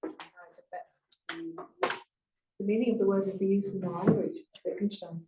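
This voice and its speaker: A woman's voice: medium pitch, fairly even intonation, moderate pace, dark tone, recorded from a distance. A woman in a class, asking curious questions with laughing asides and making announcements. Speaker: class student. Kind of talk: casual class discussion. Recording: echoey room with faint noise.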